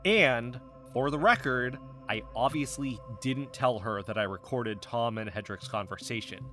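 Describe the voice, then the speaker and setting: An earnest man, played by an actor, confides his suspicions as an amateur investigator in a naturalistic podcast drama. His mid-pitched voice is insistent and defensive, with faint music behind. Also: unhurried, close to an everyday voice. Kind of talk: naturalistic fiction podcast acting as recorded conversation.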